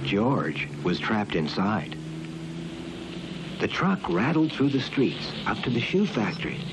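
A man reading a scripted children's storybook narration in an expressive storytelling manner. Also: on the low side, slow pace, noisy background. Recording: muffled old cartoon soundtrack, background music.

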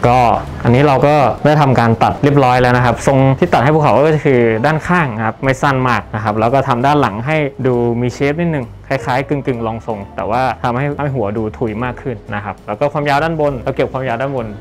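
A man's voice: mid-range voice, fast talker, hardly any pauses, faint music behind. A young man working as a senior barber, explaining a haircut and styling steps politely while working in a barbershop. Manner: polite, explanatory, often ends phrases politely. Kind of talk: hands-on styling demonstration. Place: barbershop indoors.

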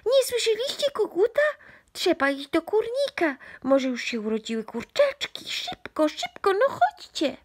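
A woman voicing an excitable, clingy little boy mouse in a storybook, with a childlike, exclaiming way of talking. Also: extremely high pitch, measured pace, pitched above the performer's own voice, heavily acted. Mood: excited urging. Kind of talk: children's storybook read-aloud.

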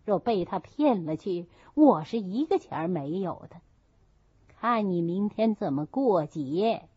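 A woman's voice: medium pitch, expressive, unhurried, slightly rough, no echo. A woman voicing a stern, haughty stepmother in an audiobook, reproachful and sarcastic. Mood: scolding. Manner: reproachful, sneering. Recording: muffled, dull audio.

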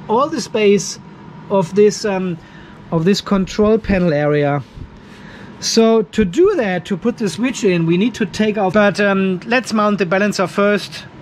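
A man in his fifties narrating a hands-on DIY job in a casual, informal way, thinking aloud as he works. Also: high-pitched. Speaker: DIY video host. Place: garage workshop with metal roof. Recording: some background noise in workshop.

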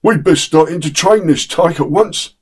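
An older man voicing a simple-minded male crook, pitched higher than his own voice. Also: normal speed, darkish tone, slightly rough. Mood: humble.

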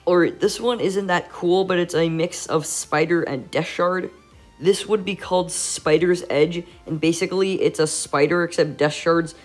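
A man's voice: on the high side, moderate pace, soft background music. A congested young man with a nasal voice, doing a casual gameplay voice-over for his channel, rambling along with many "uh" fillers. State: congested, stuffy nose. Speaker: gaming YouTuber.

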